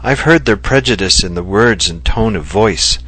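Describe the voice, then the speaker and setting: A man voicing a gentle, loving Japanese wife who speaks earnestly and reflectively. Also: on the low side, lively intonation, moderate pace, husky, poor audio. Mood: earnest, tender. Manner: earnest and heartfelt, softly reflective.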